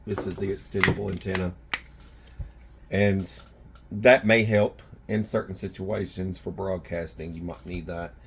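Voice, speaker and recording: A man hosting a gear video, talking to viewers in an informal, rambling, folksy way with a rough, husky voice. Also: fairly low pitch, a little hiss or hum. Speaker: survival gear video host. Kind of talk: casual product showcase talk to viewers. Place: indoors in a house.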